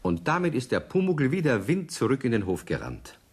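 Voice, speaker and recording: A man narrating a children's radio play in a measured storytelling manner. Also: medium pitch, wildly swooping pitch, breathy edge.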